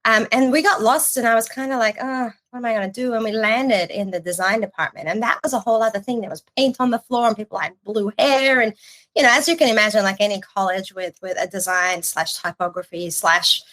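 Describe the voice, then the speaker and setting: A woman in her forties, from Iran, telling her story as a podcast guest, lively and quick to restart her sentences. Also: medium pitch, low-quality recording. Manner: animated storytelling with restarts and casual swearing. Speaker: podcast guest, agency creative chief. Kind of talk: casual interview chat.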